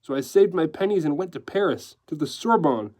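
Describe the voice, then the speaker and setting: A man's voice: fairly high pitch, lively intonation, a little fast, darkish tone, pitched above the performer's own voice. Man playing a flamboyant, gushing society decorator. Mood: gleeful, boastful. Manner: gushing, sprinkles French phrases affectedly. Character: flamboyant male society decorator, sly, gleeful, theatrical.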